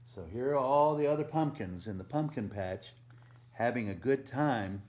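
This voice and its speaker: A man's voice: medium pitch, expressive, moderate pace, darkish tone. A man reading a picture book aloud to preschoolers as a volunteer storyteller, stressing the rhymes and adding simple asides and questions for the young listeners. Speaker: volunteer children's story reader.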